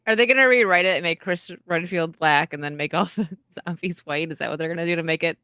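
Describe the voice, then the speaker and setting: A woman co-hosting a casual podcast, blunt and quick to laugh. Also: fairly low pitch, sharp tone, smooth, dry recording, studio quality. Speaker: podcast co-host.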